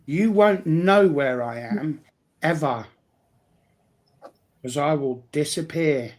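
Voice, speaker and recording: Man, slightly high pitch, unhurried pace, blunt, sweary, casual stream chat. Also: a little mellow.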